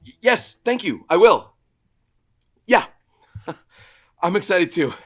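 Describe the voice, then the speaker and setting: A man's voice: sits high, sing-song, slow, a bit bright, heavily acted. A man playing an earnest, slightly awkward young superhero in his civilian life, at a script table read. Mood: excited.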